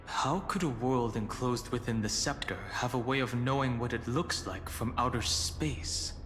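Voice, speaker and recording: Guy voicing serious brainy young game hero, pensive, mid pitch, long reverb, bit of music. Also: normal speed, a bit bright, natural voice. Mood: puzzled, composed. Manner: pensive, questioning, composed. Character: serious, thoughtful, reserved young man, analytical. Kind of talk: video game voice acting. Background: faint game music.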